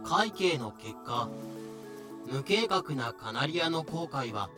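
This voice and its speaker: A man narrating a fan-made voice drama in a measured, explanatory way. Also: on the high side, big pitch swings, music in the background.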